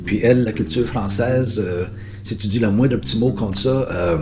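A man's voice: on the low side, moderate pace, noisy background. A man talking in a casual interview, explaining his ideas earnestly and thinking aloud as he goes. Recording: guitar music playing behind the voice.

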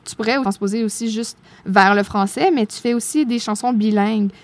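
A woman's voice: on the high side, brisk. A woman interviewing a musician, often stumbling over and restarting her questions.

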